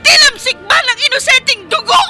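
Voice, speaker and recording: A woman's voice: extremely high pitch, a little fast, over music. An actress playing an impassioned young woman defending her faith in a radio drama, with an intense, pleading delivery.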